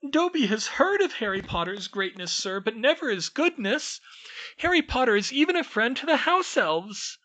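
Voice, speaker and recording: A man voicing a small, servile male house-elf with a very high squeaky voice and broken grammar, who calls everyone sir. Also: big pitch swings, moderate pace, sharp tone, full character voice, low-quality recording. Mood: servile whining.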